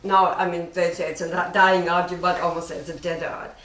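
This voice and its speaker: An elderly woman, a printmaker recalling her work as an interview guest in a halting, reflective, plain-spoken way. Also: fairly low pitch, little pitch movement, a bit bright. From born in Germany, raised in Japan. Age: 70s or older. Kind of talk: unscripted personal recollection. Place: print studio workshop. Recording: echoey, distant room with faint noise.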